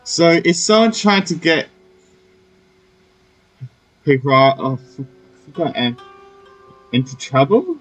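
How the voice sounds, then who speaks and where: Casual husky-voiced male gaming streamer. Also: on the high side, animated, slow pace, pauses often, a bit bright, faint music behind, poor audio. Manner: informal, slangy, muttering reactions. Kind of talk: casual let's-play commentary.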